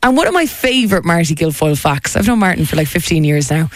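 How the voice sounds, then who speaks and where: A woman's voice: lowish voice, lively intonation, fast talker. A woman hosting a radio show, telling stories in a casual, teasing countdown style. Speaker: radio host.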